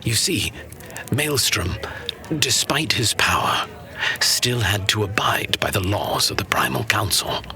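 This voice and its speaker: A man plays a seasoned fantasy storyteller and mentor. His low voice is breathy and theatrical, unhurried and patient, swinging expressively as he teaches his pupil. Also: lively intonation, pushed and bright, in a whisper, faint music behind, full character voice. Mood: dramatic explaining. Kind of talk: fantasy audio drama storytelling.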